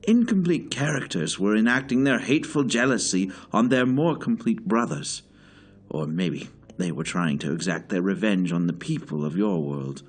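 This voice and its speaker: A man's voice: on the low side, lively intonation, normal speed, breathy edge. An actor playing a stern, weary older male director in an audio drama, speaking formally and with grave authority. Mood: weary, solemn.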